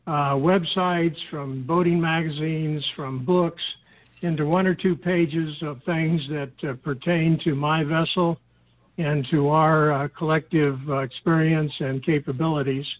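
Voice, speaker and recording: An older American man speaking in a measured, halting way with frequent throat clearing, explaining things as an interview guest. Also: on the high side, steady tone. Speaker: podcast guest, experienced recreational boater. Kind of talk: unscripted explanatory interview talk. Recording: tinny phone line with clear noise.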